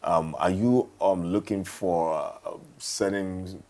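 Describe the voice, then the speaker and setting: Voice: low-pitched, fairly even intonation, takes it slowly, darkish tone. A host with a Ghanaian accent interviewing casually on a morning show, phrasing questions hesitantly.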